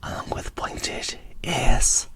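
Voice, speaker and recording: A woman whispering in a breathy, hushed, unhurried way, as if hosting an ASMR unboxing and describing small details. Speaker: host of a doll unboxing video.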